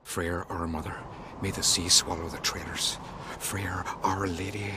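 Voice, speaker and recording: An actor playing a stern, brusque druid herbalist in a fantasy video game, curt and solemn. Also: male voice, low voice, little pitch movement, measured pace. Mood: solemn prayer. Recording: clearly noisy ambience behind the voice.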